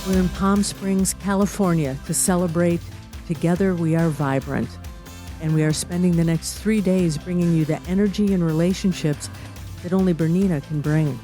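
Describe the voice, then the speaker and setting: Upbeat woman narrating over soft music. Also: low voice. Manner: upbeat, promotional. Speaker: host. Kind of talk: scripted documentary narration.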